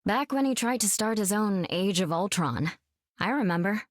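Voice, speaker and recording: An actress playing a calm, steady female superhero and wife in a video game, warm and reassuring. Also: medium pitch, moderate pace, no room sound, clean studio sound, lightly acted.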